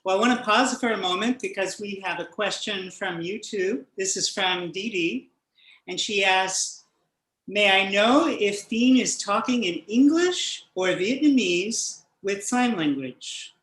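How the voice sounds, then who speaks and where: An interview host speaking slowly and deliberately in a mid-range voice. They read out viewer questions in a measured way and nudge the guest along with soft listening sounds. The room around them gives off a noticeable echo. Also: mid-range voice, lively intonation. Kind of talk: hosting a live-streamed interview.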